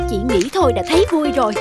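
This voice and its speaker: A woman voicing an arrogant young male village scholar in a cartoon, smug and taunting, over background music. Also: high-pitched. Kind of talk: cartoon dubbing.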